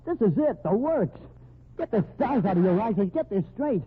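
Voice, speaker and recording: A tinny old radio recording of a desperate fugitive brother in a crime drama. The voice is high-pitched and rough, spitting hard-bitten gangster slang. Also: male voice, big pitch swings, moderate pace, heavily acted. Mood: desperate pleading. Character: desperate fugitive brother, a small-time hoodlum.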